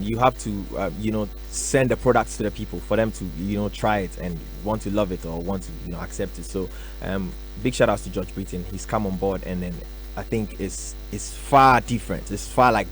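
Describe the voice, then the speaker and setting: A young man from Ghana, a musician answering radio interview questions in a relaxed, soft-spoken way with many fillers. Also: fairly low pitch, a little fast, faint music behind, poor audio. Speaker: musician guest. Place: radio studio.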